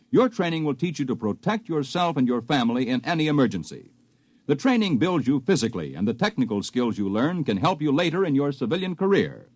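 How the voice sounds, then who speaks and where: Very lively 1950s male radio announcer. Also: medium pitch, no echo. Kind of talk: 1950s radio announcing, scripted promotional reads.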